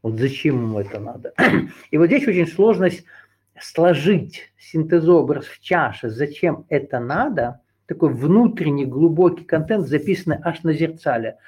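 A man's voice: a little high, lively intonation, moderate pace, darkish tone, slightly rough. A man teaching a group, lecturing in unscripted, discursive talk with hesitant fillers, often circling back to rephrase a point. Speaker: teacher leading a group session.

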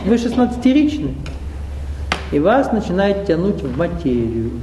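A man's voice: sits high, sing-song, normal speed, dull audio, background noise. A man leading a spiritual seminar, lecturing a live audience in a conversational way with rhetorical questions and wry asides. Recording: roomy hall echo.

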